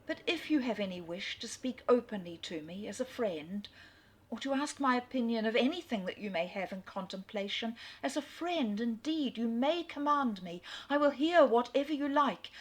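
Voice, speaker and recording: Casting: eager, anxious young lady, voiced by a woman, bright raised tone. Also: a little high, lively intonation, normal speed, pitched above the performer's own voice. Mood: pleading. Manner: eager, breathless pleading.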